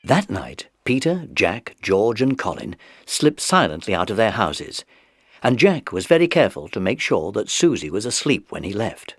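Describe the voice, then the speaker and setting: A man's voice: on the low side, sing-song, moderate pace, fairly bright tone, husky, dry recording, studio quality. A man narrating a children's mystery story from a script. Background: music.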